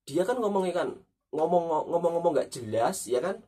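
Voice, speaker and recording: A man's voice: on the high side, lively intonation, moderate pace, mellow, slightly rough, distant mic. A man gives conversational commentary as a host, emphatically repeating his points and often adding tag questions. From Indonesia. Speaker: host of a reaction talk.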